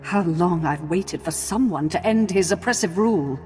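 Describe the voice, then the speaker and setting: A woman's voice: fairly low pitch, moderate pace, darkish tone, close to an everyday voice. A woman playing a proud, scheming middle-aged noblewoman in a video game, imperious, formal and dramatic. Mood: cold scheming. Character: proud, scheming Sith noblewoman and protective mother. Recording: long hall reverb, faint music.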